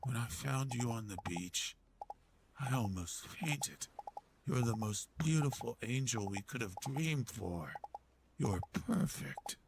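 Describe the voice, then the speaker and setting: A man voicing a fervent male cult devotee who speaks in a hushed, half-whispered way. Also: mid-range voice, expressive, slow pace, vocal fry, noisy background. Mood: reverent.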